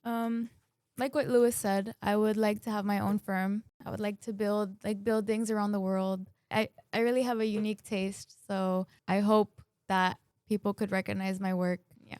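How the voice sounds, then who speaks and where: A woman's voice: medium pitch, little pitch movement, normal speed, a little mellow, smooth, dry recording, clean studio sound. A young woman architecture student answering a little hesitantly, with fillers. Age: young adult.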